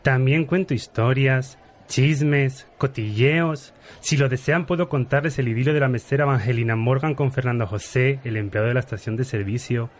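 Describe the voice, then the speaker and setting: Pompous viscount played by an actor, medium-pitched, ornate and formal, gossipy, comic radio soap. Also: male voice, moderate pace, hardly any pauses, close to an everyday voice. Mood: ingratiating. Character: pompous, gossipy male viscount, government right-hand man. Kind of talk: parody radio soap opera acting.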